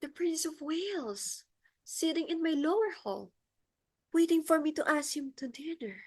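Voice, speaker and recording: A woman's voice: high-pitched, animated, moderate pace, a little mellow. A woman playing a flustered, imperious society mother in a stage comedy, with emphatic, theatrical exclamations. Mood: awestruck, excited. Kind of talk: comedic stage-play acting.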